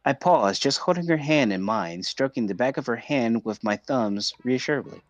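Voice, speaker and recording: Animated male visual novel narrator. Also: mid-range voice, moderate pace, no echo, highs cut off, soft background music. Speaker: streamer reading visual novels aloud.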